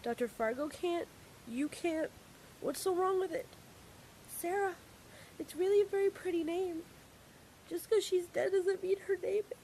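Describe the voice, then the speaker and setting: An actress playing a fragile young woman in a mental ward whose voice rises into a shrill, unhinged edge. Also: unhurried, pauses often, warm tone, a little hiss or hum.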